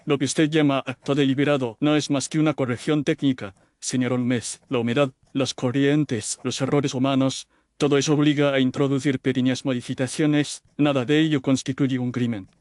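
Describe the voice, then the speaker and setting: A man's voice: medium pitch, little pitch movement, normal speed, darkish tone, no room sound, studio quality, natural voice. A man playing a defensive, haughty engineer in an audio drama, formal and self-justifying. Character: defensive, haughty male engineer accused of sabotage.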